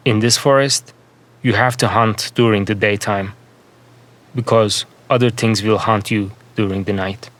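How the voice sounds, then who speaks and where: A man narrating a scripted fantasy story in the first person, with measured, deliberate phrasing in short segments. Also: on the low side, fairly bright tone.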